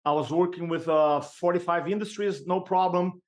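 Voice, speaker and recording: A middle-aged man from Brazil with a Brazilian Portuguese accent, a speaking coach telling his story as a podcast guest with lively energy and frequent laughs. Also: high voice, moderate pace. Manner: energetic, laughs often, acts out quoted voices. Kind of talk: storytelling interview chat.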